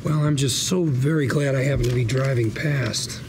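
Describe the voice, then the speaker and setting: An actor playing a slick, fast-talking con-artist lawyer at a drama table read, full of persuasive, slangy patter. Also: male voice, medium pitch, steady tone, background noise, natural voice. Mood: falsely humble. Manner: glib, persuasive patter with colourful slangy quips.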